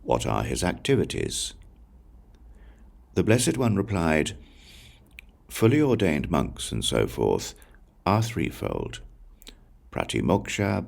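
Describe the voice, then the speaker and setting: Very deep, gravelly male scripture reader. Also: sing-song, slow pace, pauses often, darkish tone. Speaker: audiobook reader. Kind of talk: scripted reading of Buddhist scripture.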